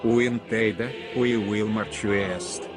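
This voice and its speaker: A man's voice: on the low side, fairly even intonation, slow. A man delivering a solemn political address. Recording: speech over clear music.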